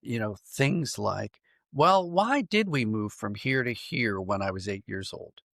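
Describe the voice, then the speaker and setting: Reflective man with a creaky, close-miked voice. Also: fairly low pitch, lively intonation, a little slow, fairly bright tone, dry recording. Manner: reflective, thinking aloud with self-corrections. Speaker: podcast guest, writer and dramatist. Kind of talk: conversational interview answers.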